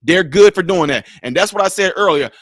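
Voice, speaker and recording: A man with a high voice gives live-stream commentary on a clip he keeps pausing. He talks with emphatic, rhetorical energy. The sound is dry and close, with little room tone. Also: no echo. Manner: animated, emphatic, rhetorical questions. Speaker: commentator reacting to a video clip.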